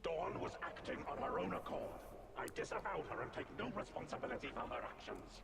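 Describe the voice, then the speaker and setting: A man's voice: on the high side, big pitch swings, fairly quick. A man voicing a haughty, menacing god in a fantasy video game, imperious and scornful in manner. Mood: defiant. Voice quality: heavily gravelly. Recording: game audio under loud ambient noise.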